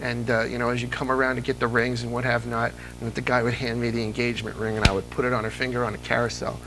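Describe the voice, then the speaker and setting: Gravelly-voiced man telling eager stories. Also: medium pitch, level tone, fast talker, fairly bright tone, a little hiss or hum, low-quality recording. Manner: enthusiastic storyteller, restarts often. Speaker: interview host. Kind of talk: casual nostalgic interview chat.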